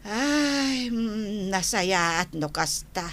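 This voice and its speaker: A woman's voice: medium pitch, expressive, drawn-out words, a bit bright. A woman playing a kindly, pious old grandmother in a radio comedy drama, with an Ilocano accent and sighing interjections.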